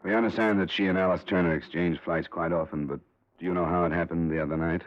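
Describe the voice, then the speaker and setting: An actor playing a tough, skeptical insurance investigator in a 1950s radio crime drama, terse and world-weary. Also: male voice, sits low, fairly even intonation, fast talker, natural voice. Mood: probing. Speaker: lead actor and narrator. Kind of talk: 1950s hard-boiled radio crime acting. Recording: muffled old radio recording with music.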